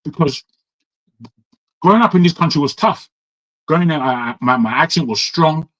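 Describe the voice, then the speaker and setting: A man's voice: on the high side, normal speed, fairly bright tone. A man giving opinionated talk-show commentary, emphatic, often repeating and restarting his words. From Nigeria. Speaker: panel commentator.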